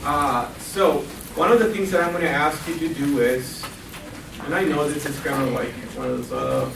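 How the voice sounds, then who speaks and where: A man in his forties runs a workshop in a lecture room, talking chattily and quizzing the audience in a slightly high voice. He was recorded by a camera far away, so his voice sounds echoey and there is a lot of noise. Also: big pitch swings, normal speed. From Guatemala and Costa Rica. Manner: chatty, asks the audience questions. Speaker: financial literacy educator. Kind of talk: classroom workshop presentation.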